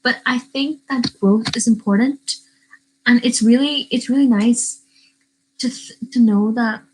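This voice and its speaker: A woman's voice: on the high side, unhurried, darkish tone, a little hiss or hum, low-quality recording. A teenage girl from Northern Ireland chatting casually on a livestream, rambling and answering questions from the live chat. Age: teens. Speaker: YouTuber. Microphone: webcam.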